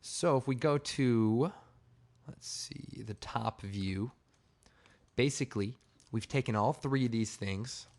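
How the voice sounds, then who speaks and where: A man with a slightly hoarse, raspy voice giving a casual, informal software tutorial, explaining step by step. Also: medium pitch, takes it slowly. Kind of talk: casual screen-recorded tutorial narration.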